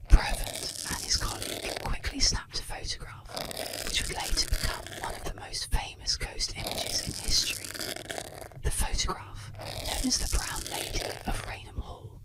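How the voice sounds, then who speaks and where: A storyteller in a soft, breathy, unvoiced whisper close to the microphone, narrating scripted spooky tales in a soothing, measured ASMR style. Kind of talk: scripted ASMR narration of ghost stories and urban legends.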